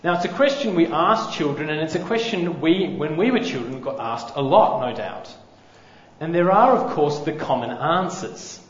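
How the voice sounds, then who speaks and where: A man with a loud voice preaching a sermon, relaxed and self-deprecating. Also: a little high, normal speed. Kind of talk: wedding-day sermon. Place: church hall. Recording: echoey hall, slightly dull sound.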